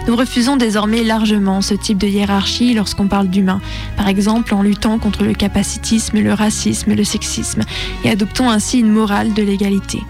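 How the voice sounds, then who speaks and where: A woman's voice: medium pitch, level tone, a little fast, darkish tone. A woman presenting on the radio. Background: music.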